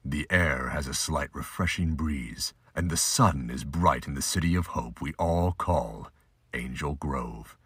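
A man narrating a scripted amateur audio drama with a husky voice. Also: extremely low pitch, unhurried, fairly bright tone, dry recording.

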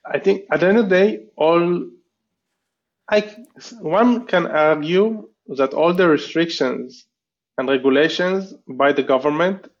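A man's voice: on the high side, unhurried, muffled recording. A man from Israel, a guest entrepreneur, chatting casually and thoughtfully with many restarts in an interview.

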